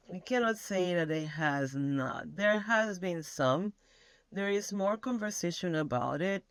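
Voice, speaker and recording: A woman's voice: fairly low pitch, no echo. A woman from Venezuela, answering interview questions emphatically, often restarting her phrases. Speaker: guest scholar.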